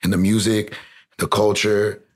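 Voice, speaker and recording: A man's voice: on the low side, little pitch movement, measured pace. A young man from South Texas, a guest in a casual interview, rambling with lots of "like" fillers and swearing.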